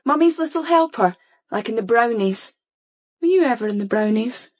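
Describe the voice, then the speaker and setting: A brittle teenage girl, evasive and flippant. Her voice is fairly high and husky, in a naturalistic radio drama style. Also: lively intonation, moderate pace. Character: troubled fourteen-year-old girl, brittle, evasive, flippant.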